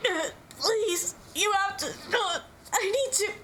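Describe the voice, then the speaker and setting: A woman's voice: very high-pitched, slow, fairly bright tone, slight background noise, voice pushed up, full character voice. A woman voicing an anguished, defiant young boy warrior who pleads in stammers. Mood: tearful pleading.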